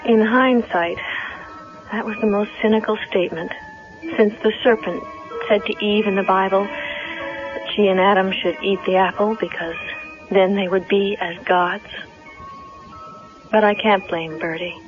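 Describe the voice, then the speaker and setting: An actress playing a frightened young heiress haunted by dread, in a 1970s radio drama style. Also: female voice, mid-range voice, steady tone, measured pace, pauses often, natural voice. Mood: bitter, reflective. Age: young adult. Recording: dull vintage radio recording with music.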